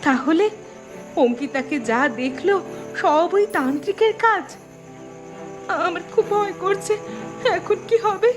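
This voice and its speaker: Frightened young woman, extremely high voice, anxious, dramatic, horror cartoon, loud music behind. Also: measured pace, long pauses, rough recording. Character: frightened young woman haunted by a ghost, and her sister.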